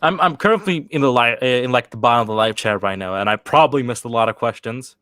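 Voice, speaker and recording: A young man from Sweden talks loosely to his live chat, reading questions aloud. He stumbles, restarts and fills gaps with "uh" and "like". His voice sits at an ordinary pitch, recorded close on a desk mic with no room echo. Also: a bit bright. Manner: rambling, halting, with many restarts and fillers. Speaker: channel host answering live chat questions. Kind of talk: casual livestream chat.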